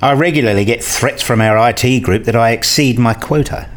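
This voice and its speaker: A man's voice: medium pitch, a little fast, close to an everyday voice. A man acting a frustrated, restless academic who narrates his dreary days in a radio play, wry and grumbling. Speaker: narrator and lead character. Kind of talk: first-person radio play narration and dialogue.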